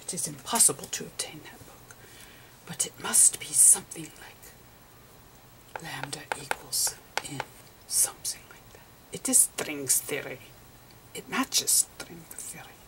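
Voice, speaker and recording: Female ASMR lecturer: breathy, mostly whispered, halting phrases, lowish voice that swings up and down. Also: animated, poor audio. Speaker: ASMR performer playing a physicist. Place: close over a chalkboard on a table indoors.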